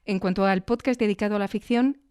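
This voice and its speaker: Casting: female podcast presenter, accent of Spain, crisp mid-range voice, scripted yet chatty delivery. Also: medium pitch, steady tone, fast talker, a little mellow. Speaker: podcast host and interviewer.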